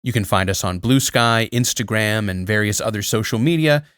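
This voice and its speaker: Male podcast host, fairly low voice, close dry sound, scripted support pitch. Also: normal speed, a bit bright, clean tone, no echo. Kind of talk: scripted promotional read.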